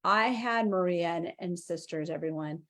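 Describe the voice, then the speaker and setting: A woman's voice: lowish voice, normal speed, fairly bright tone. A woman speaking as a guest on a live spiritual interview, talking in an earnest, heartfelt way with long flowing phrases. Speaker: interview guest, angel mentor.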